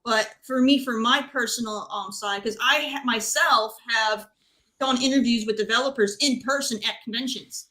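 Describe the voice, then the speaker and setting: A woman's voice: a little high, normal speed, sharp tone. A woman speaking as a panelist in a casual chat, blunt and emphatic with her opinions. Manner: emphatic, blunt, repeats points. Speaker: panelist on a gaming talk show.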